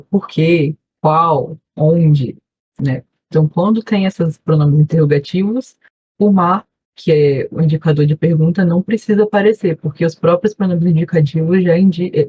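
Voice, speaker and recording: A woman's voice: lowish voice, dark tone, slightly rough, lo-fi sound. A woman with a Brazilian Portuguese accent, a volunteer teacher giving an online class review, explaining patiently and checking in often with her students. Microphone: video call.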